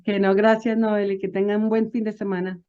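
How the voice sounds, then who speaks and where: A woman's voice: medium pitch, little pitch movement, brisk, dark tone, highs cut off. A woman guest chatting informally in an interview, switching between languages at times. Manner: mixes Spanish and English. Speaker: interview guest, business advisor.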